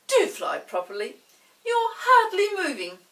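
A woman's voice: very high-pitched, wildly swooping pitch, measured pace, fairly bright tone, distant mic, voice pushed up, full character voice. A woman voicing a bossy, stern little pixie in a children's storybook, scolding and emphatic. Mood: impatient.

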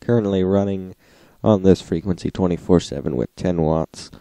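A man's voice: low voice, normal speed, mellow, no room sound. A man narrating a log in a matter-of-fact, list-like way, as the host of a recap. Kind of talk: scripted narration, reading logs.

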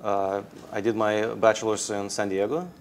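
A man's voice: lowish voice, flat delivery, moderate pace, a little hiss or hum. A man in his thirties from Russia with a Russian accent, answering interview questions hesitantly with many fillers. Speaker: guest, tech founder. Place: indoor studio set. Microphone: lapel.

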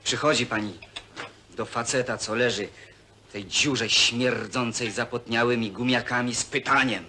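An actor playing a cocky, bitter young hostel worker who talks in a sarcastic, needling, colloquial way in a film drama. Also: male voice, medium pitch, fairly even intonation, normal speed, natural voice. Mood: bitter, provoking. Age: young adult. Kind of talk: naturalistic film dialogue. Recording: noisy old film soundtrack with clicks.

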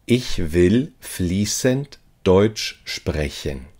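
A man reading short phrases for a language lesson, saying each one slowly and repeating it. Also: deep voice, expressive, a little mellow. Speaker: language teacher.

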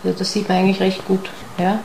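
A woman's voice: medium pitch, completely flat delivery, quick pace, gravelly, crowd noise, poor audio. A woman with an Austrian accent explaining things spontaneously in a documentary interview. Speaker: ghost hunter.

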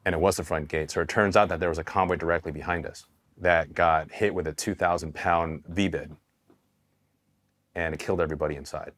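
Very deep-voiced man telling candid stories. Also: brisk. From Taiwan, raised in California. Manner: candid, relaxed, casual with swearing and repeated restarts. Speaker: Army veteran interviewee. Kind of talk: documentary interview storytelling. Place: dark studio set, indoors. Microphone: hidden mic, seated on camera.

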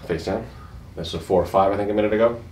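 A man's voice: on the low side, fast, a little mellow. A middle-aged man talking casually as a chiropractor, informal and joking, with some salty language. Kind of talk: casual patient consultation and commentary. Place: small office treatment room. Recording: background noise, room ambience.

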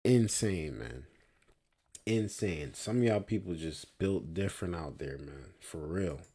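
A young man talks in a deep, dark voice at an unhurried pace. He reacts to a news clip from a small room, drawing out his words and tagging lines with "man". It is casual commentary into a close webcam mic. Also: lively intonation, slightly rough. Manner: slow, drawn-out, repeats "man" often. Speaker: video commentator.

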